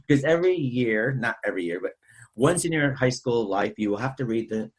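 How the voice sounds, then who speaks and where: Husky-voiced man hosting a film chat. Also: mid-range voice, animated, a little fast, poor audio. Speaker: podcast host. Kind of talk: casual film discussion.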